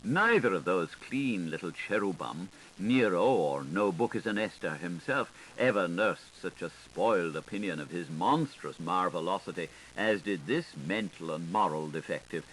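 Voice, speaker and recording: A man's voice: low voice, normal speed, hardly any pauses, rough recording. A man reading literary prose as an audiobook narrator, with a theatrical, varied, lilting delivery. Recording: hissy 1980s tape recording. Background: steady tape hiss.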